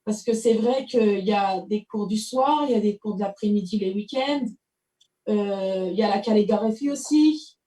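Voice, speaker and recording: A woman's voice: mid-range voice, moderate pace, warm tone. A woman hosting an interview, speaking in a chatty, hesitant way full of fillers. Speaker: TV show host. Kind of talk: casual interview conversation. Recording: roomy, distant mic.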